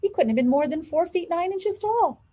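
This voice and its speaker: A woman reading a children's storybook aloud in an expressive, dramatized storytelling manner. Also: extremely high pitch, moderate pace. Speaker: school leader reading aloud.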